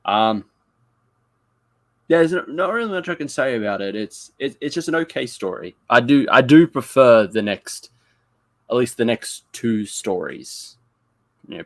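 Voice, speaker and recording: A man's voice: fairly low pitch, dry recording. A man giving a casual review as a panelist, hesitant and often repeating his words. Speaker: audio drama review panelist.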